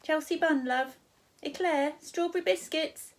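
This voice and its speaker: Storybook stallholder, played by a woman reader: motherly, hearty, raised pitch, loud, full of endearments. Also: measured pace. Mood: hearty, friendly. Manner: warm, uses pet names like love and dear. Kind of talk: children's storybook read aloud.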